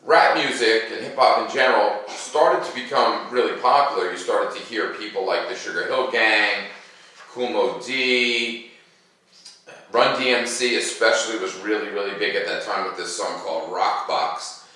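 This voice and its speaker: Bright-voiced man in his fifties, expressive. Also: on the low side, normal speed, husky. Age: 50s. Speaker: read-aloud host. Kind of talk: expressive children's picture-book read-aloud.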